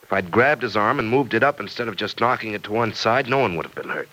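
An actor playing an earnest, guilt-ridden young man in an old-time radio drama, speaking haltingly and blaming himself. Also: fairly low pitch, animated, sharp tone, poor audio. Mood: remorseful. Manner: earnest, halting, self-reproaching. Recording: vintage radio recording.

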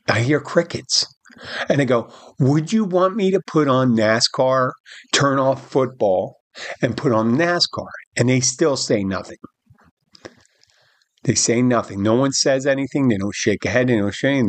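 A middle-aged man with a husky, slightly breathy voice tells a long story in a casual podcast monologue. He rambles, restarts his sentences and acts out the quoted dialogue. The recording is dry and close to the mic. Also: mid-range voice, no echo. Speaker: podcast host and bartender.

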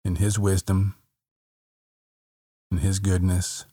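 Casting: man with a very low voice, unhurried, gentle meditation guide, dry close sound. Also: slightly rough, no room sound. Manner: slow, soft, calm, repeats phrases with long pauses.

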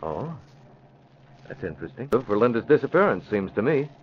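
An actor playing a resolute newspaper publisher and secret crimefighter, in the style of 1940s radio drama. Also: male voice, mid-range voice, sing-song, moderate pace, close to an everyday voice. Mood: serious. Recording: muffled, hissy old radio recording.

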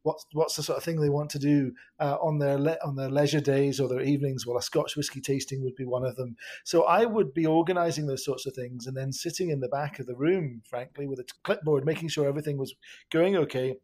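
A man's voice: mid-range voice, fast talker, a little mellow. A Scottish man chatting as a podcast guest, laughing often and restarting his sentences. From Scotland. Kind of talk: casual podcast conversation.

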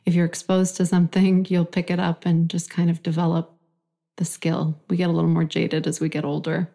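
A woman's voice: fairly low pitch, little pitch movement, brisk, vocal fry, dark tone. A woman in her forties, from Iran, telling her life story reflectively in an interview. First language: Farsi. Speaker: guest. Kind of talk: conversational interview.

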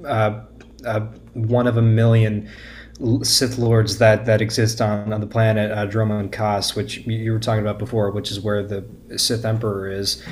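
Lowish-voiced man, stammering podcast guest. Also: monotone, moderate pace, slight background noise. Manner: stammering restarts, earnest. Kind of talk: casual discussion.

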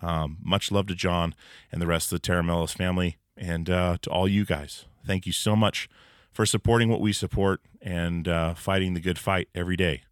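A very low-voiced man hosting a casual podcast from a home basement. He talks in a loose, rambling way, full of "uh" and "you know". The sound is close and dry, with no room echo. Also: moderate pace, smooth. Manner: relaxed, rambling with many fillers. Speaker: podcast host. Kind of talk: casual interview chat. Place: old house basement.

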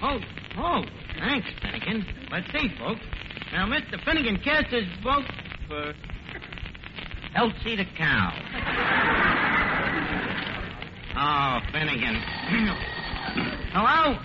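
A man's voice: sits high, very animated, takes it slowly, pauses often, full character voice. A man acting a brash, slangy tavern manager who mangles his words in an old radio comedy. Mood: excited. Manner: malapropisms and bad grammar, bluffing. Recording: noisy, muffled old radio recording.

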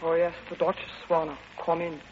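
A woman's voice: lowish voice, little pitch movement, a little fast, close to an everyday voice. A woman playing an earnest, stern Soviet envoy in an old-time radio drama, on a muffled, noisy recording. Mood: cool, defiant.

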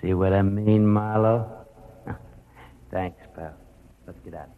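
Deep-voiced sly radio crook. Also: male voice, fairly even intonation, slow pace, narrow, tinny audio. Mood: smug, menacing. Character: shady male crook with gold teeth, sly, menacing. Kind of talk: 1940s-50s radio drama acting. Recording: faintly hissy old radio recording.

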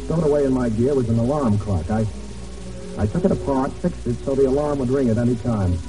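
An actor in an old radio drama playing a hunted American Navy man in hiding, weary but determined, speaking in a halting, earnest way. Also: medium pitch, lightly acted. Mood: haunted, grim. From United States. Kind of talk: old radio drama acting, first-person narration and dialogue. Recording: old radio broadcast, distant, music behind.

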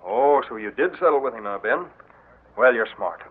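An actor playing a sly office clerk who keeps smoothly casting suspicion, in an old radio drama. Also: male voice, medium pitch, lively intonation, moderate pace, phone-quality sound. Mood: insinuating. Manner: insinuating, smooth. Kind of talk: 1940s radio acting. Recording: thin old radio broadcast.

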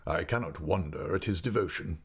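A man voicing a patronising gentleman suitor in a storybook, with a slow, genial, condescending manner. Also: deep voice, husky, exaggerated voice. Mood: suave joviality.

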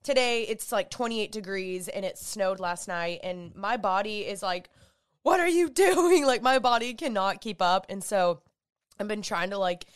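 A young woman hosts her podcast in a chatty, upbeat way, peppering her talk with "like". Her voice is smooth and clear even through a stuffy, congested nose, and it sits at a middle pitch. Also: measured pace. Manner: chatty, upbeat, with filler likes. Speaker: podcast host. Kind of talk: casual solo podcast talk.

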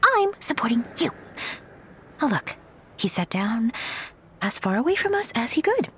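A woman's voice: fairly high pitch, sing-song, normal speed, a little hiss or hum. A woman playing an awkward, easily mortified young aspiring actress in a comedic audio drama, flustered and dramatic. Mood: embarrassed.

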